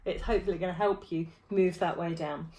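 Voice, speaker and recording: A woman, teaching a vocal warm-up to camera from a small room at home, friendly and demonstrative. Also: on the low side, steady tone, fairly quick. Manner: friendly, demonstrative, touching throat and jaw while explaining. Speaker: choir vocal coach.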